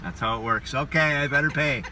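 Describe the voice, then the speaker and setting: A man's voice: medium pitch, brisk, bright, forceful tone, a little hiss or hum. A man hosting a casual travel vlog, narrating with wry, joking asides. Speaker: vlog host and travelling musician.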